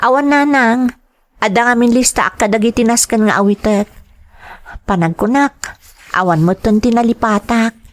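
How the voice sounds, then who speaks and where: A woman's voice: a little high, normal speed, pauses often, natural voice. A woman acting a determined, dutiful young adult daughter in an audio drama, with an Ilocano Filipino accent. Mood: resolute. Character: determined, dutiful grown daughter seeking work abroad.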